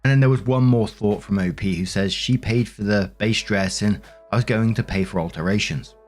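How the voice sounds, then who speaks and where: Low-voiced man reading stories over music. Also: normal speed. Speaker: host and narrator of Reddit story compilations. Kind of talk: reading online stories aloud with commentary.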